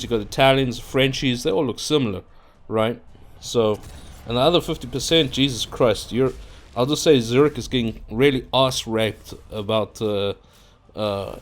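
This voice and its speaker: A man from Africa, talking casually into his own microphone in an unscripted, rambling monologue full of fillers and restarts. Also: medium pitch. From South Africa. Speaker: YouTuber. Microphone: own microphone at a computer.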